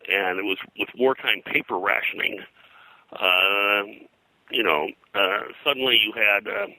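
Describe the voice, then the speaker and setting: Male interview guest, historian type: low, gravelly, halting and detail-heavy, informative. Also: pushed and bright, no room sound, poor audio. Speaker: interviewed publisher and pop-culture historian. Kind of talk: conversational interview, explaining history.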